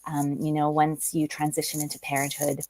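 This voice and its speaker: A woman's voice: deep voice, completely flat delivery, a little fast, a little mellow, no echo. A woman, a guest author talking thoughtfully in an interview, with frequent ums and restarts. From Canada. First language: Polish. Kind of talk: conversational interview.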